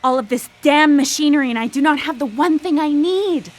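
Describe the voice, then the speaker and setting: A woman's voice: sits high, brisk, natural voice. An actress playing an obsessive, ruthless female scientist in a theatrical, formal manner for a live radio drama. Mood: brooding, intense. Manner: grandiose, theatrical, formal speech.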